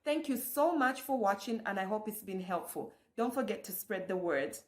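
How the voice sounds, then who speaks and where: A woman's voice: medium pitch, lively intonation, normal speed. A woman hosting an online summit, coaching listeners through rhetorical questions. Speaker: summit host. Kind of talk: motivational address. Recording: echoey room.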